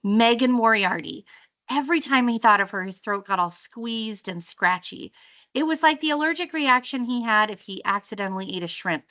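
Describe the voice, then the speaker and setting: A woman reading a children's story aloud in an expressive, storytelling style. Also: on the high side, normal speed. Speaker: teacher reading a book aloud.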